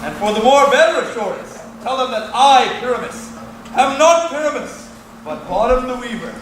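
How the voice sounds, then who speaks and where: A man's voice: extremely high pitch, animated, moderate pace, a bit bright. A man acting a bossy, eager weaver and amateur actor in bombastic theatrical Shakespearean style. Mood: bossy, confident. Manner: bombastic, commanding. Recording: echoey room, distant mic, faint noise.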